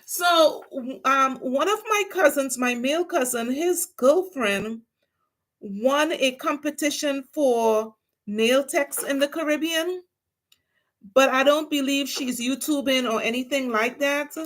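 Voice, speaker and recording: A woman's voice: high voice, slow pace, fairly bright tone, poor audio. A woman with a Trinidadian accent chatting casually to her viewers on a livestream while she does her nails. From Trinidad and Tobago. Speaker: nail technician vlogger.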